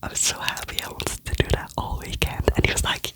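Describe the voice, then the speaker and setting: A vlogger telling a rambling, chatty personal story in a soft ASMR whisper. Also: brisk. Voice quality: whispering throughout.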